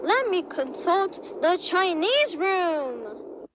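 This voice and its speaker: A woman playing a madcap ringmaster who booms silly announcements in a very high voice. He is theatrical and bossy. A steady hiss of noise sits under the recording. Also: extremely slow, exaggerated voice. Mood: playful. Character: zany male ringmaster host of a digital circus. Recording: noisy home recording.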